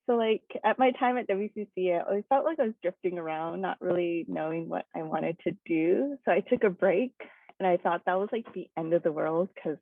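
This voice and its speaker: A woman's voice: on the low side, a little fast, dry recording. Chatty young woman on tinny video call. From Hawaii. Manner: chatty, many fillers and laughs. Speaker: guest speaker. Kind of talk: casual personal talk.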